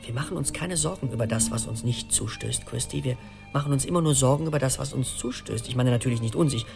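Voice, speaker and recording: An actor in a radio play voicing an anxious middle-aged man who tells his story in an urgent, animated, rambling conversational monologue. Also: mid-range voice, fast, vocal fry, over music, natural voice. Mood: earnest, urgent. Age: 40s.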